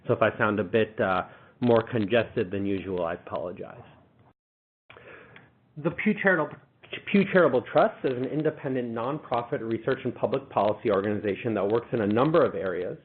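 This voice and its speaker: A man with a cold giving a webinar presentation, sounding congested and pausing often with fillers. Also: on the low side, slight background noise. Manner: halting, many uh fillers. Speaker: presenter, policy manager. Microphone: online webinar connection. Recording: phone-like webinar audio.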